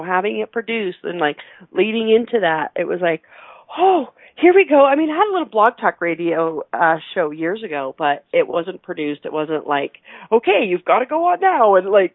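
A middle-aged woman from Canada chatting casually and effusively as an interview guest, playful and quick to laugh. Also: medium pitch, dry recording. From Alberta, Canada. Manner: effusive, playful, laughs often while talking. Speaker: interview guest, radio show host. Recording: narrow, phone-like line.

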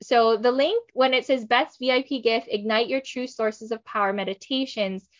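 Woman host, bubbly and chatty, fairly high voice, muffled call audio. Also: moderate pace. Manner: bubbly, chatty, laughs often. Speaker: summit host. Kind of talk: upbeat online summit hosting.

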